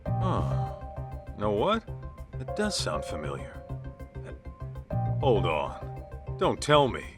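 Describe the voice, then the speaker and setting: A man's voice: on the low side, huge pitch swings, slow pace, music in the background, exaggerated voice. An actor playing an easygoing, blunt male private detective in a video game drama, talking in casual slang. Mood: surprised.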